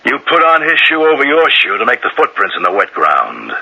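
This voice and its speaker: A man's voice: mid-range voice, wildly swooping pitch, fairly quick, creaky, pushed and bright, slightly rough, dull audio, full character voice. An actor playing a shrewd, relentless police sergeant in a radio mystery drama, insistent and theatrical as he presses a suspect. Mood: relentless, accusing. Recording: noisy vintage radio recording.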